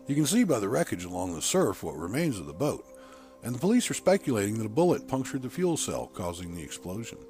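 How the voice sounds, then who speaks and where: A man reading as a composed TV news reporter in a story, formal and factual. The voice sits fairly low, with music softly underneath. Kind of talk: acted news report within audiobook.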